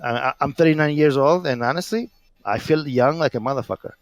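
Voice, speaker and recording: A man's voice: mid-range voice, fairly quick, no echo. A man in his late thirties, talking casually on a stream call, rambling with many fillers and blunt profanity. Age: 30s. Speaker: guest caller.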